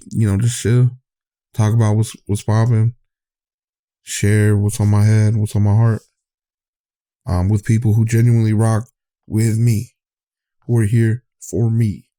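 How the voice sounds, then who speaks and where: A man's voice: fairly low pitch, mellow. A man hosting his own podcast, talking in a candid, rambling way, often trailing off and restarting, his voice getting tired. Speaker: podcast host. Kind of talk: casual rambling monologue.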